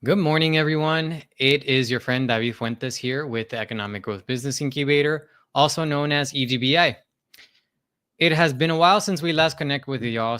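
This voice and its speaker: A man's voice: medium pitch, pushed and bright, clear, resonant tone. A man hosting a business podcast, reading a formal, polite introduction and then interviewing his guest. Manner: formal, polite, reads from notes. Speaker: podcast host.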